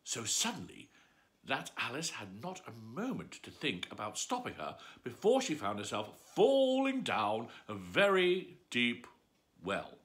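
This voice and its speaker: A man reads a children's book aloud as a bedtime story, with playful theatrical flair. His voice is bright and forward. His pitch leaps high and low all through the telling. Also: huge pitch swings, unhurried. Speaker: storyteller host.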